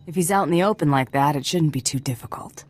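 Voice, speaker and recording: Cool, dry bounty hunter. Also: female voice, sits low, fast talker. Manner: dry, terse. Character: cool, tough female bounty hunter, businesslike and sardonic. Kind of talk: video game character acting.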